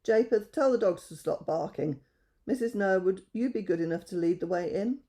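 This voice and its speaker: Steady old man giving orders, read by a storyteller. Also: mid-range voice, normal speed, lightly acted. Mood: commanding. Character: calm old Mister Noah, male.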